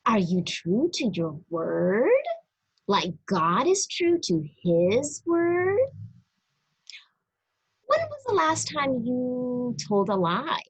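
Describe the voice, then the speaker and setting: Slow, expressive woman reading aloud to children. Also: fairly high pitch, slightly rough, rough recording. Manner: deliberate, expressive, addressing young listeners directly. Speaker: storybook reader for a church. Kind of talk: read-aloud children's religious story, teaching.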